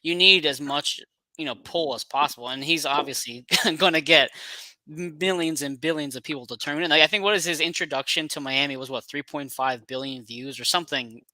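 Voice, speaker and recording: A man hosting a podcast in casual sports chat, animated and emphatic, with many fillers. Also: fairly high pitch, brisk, dry recording. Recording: dry close mic.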